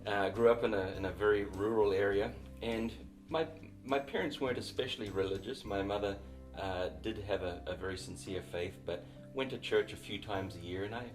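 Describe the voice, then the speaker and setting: Low-voiced New Zealand man telling his story. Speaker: missionary and author. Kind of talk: interview storytelling.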